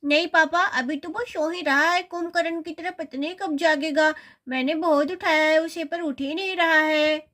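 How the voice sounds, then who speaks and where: A woman's voice: high-pitched, steady tone, moderate pace, few pauses, a bit bright. A woman voicing a mischievous, whiny young girl in a children's cartoon story. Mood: playful.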